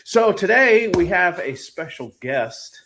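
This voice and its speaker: A man's voice: high-pitched, sing-song, takes it slowly, crowd noise. A man hosting a casual live-stream interview about comics, upbeat and hesitant, with many "um"s, speaking into a new desk mic at home. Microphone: new USB mic, home setup.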